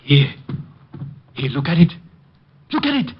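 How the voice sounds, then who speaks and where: An actor playing a frightened, paranoid heir, agitated, stammering and pleading, in old radio drama style. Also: male voice, a little high, wildly swooping pitch, a little slow, slight background noise. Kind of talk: 1940s radio drama acting. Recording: dull vintage radio recording.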